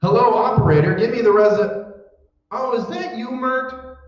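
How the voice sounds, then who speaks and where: A man's voice: extremely high pitch, moderate pace. A man playing a boastful, blustering, folksy husband in a 1940s-style radio comedy. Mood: chatty. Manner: blustery, chatty, theatrical. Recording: very echoey stage, distant dull mic.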